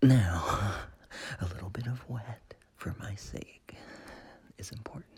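A man playing a domineering, menacing lover in intimate erotic audio roleplay, murmuring in halting phrases with a breathy, husky voice. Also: fairly low pitch, darkish tone, a little hiss or hum. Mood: menacing, teasing. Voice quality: breathy, husky, gravelly whisper.

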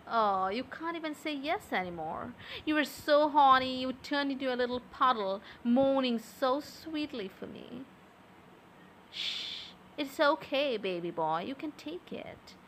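A young adult woman speaking softly and close to the mic in an intimate audio roleplay, with a teasing, coaxing manner. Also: high voice, lively intonation, slight background noise, low-quality recording. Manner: soft, slow, teasing and coaxing.

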